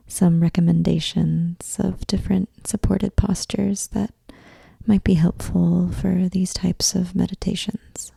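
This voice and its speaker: Woman doing guided meditation, soft breathy near-whisper, bit of creaky fry, really even and soothing, close dry sound. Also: fairly low pitch, dry recording. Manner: soothing, unhurried, measured. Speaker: yoga nidra meditation guide.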